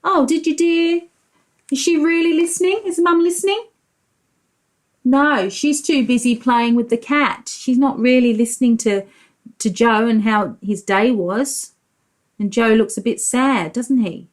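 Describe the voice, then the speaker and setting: Woman preschool teacher doing storytime, really slow, kinda high voice, explains stuff and asks little questions. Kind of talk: reading a picture book aloud to young children.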